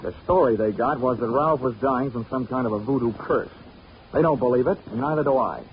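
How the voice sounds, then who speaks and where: An actor playing a dry, wisecracking insurance investigator who also narrates, in an old radio drama with a dull, hissy recording. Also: male voice, medium pitch, fairly quick, natural voice. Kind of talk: 1940s radio acting.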